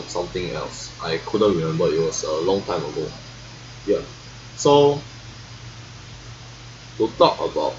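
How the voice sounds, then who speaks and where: A young man in his late teens with a Singaporean accent, rambling casually to his vlog with halting, hesitant pauses. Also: low voice, fairly bright tone. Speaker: vlogger reviewing school life. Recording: dull, lo-fi sound with faint noise.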